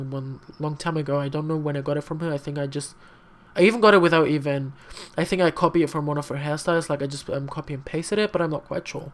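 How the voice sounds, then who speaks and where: A young adult whose first language is not English, talking through a casual screen-recorded tutorial in a rambling, chatty way, with many fillers and frequent apologies. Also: mid-range voice. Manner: frank, slightly sassy asides. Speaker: tutorial maker.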